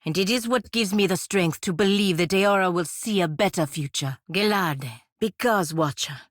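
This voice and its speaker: A woman's voice: lowish voice, no echo, clean studio sound. An actress playing a proud, stern patriot warrior woman in a fantasy video game, speaking formally and earnestly. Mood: solemn, hopeful. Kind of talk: scripted video game character dialogue.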